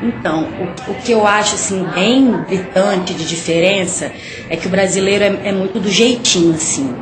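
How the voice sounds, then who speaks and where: A woman, answering interview questions in a relaxed, easygoing way. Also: mid-range voice, normal speed, a crowd in the background. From Santa Teresa, Espírito Santo, Brazil. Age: 30s. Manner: relaxed, laughs easily. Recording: echoey with background ambience.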